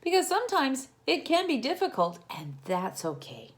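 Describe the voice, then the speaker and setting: A woman's voice: high voice, huge pitch swings, moderate pace. A woman teacher doing a storytime read-aloud, talking encouragingly and directly to young children and asking them questions.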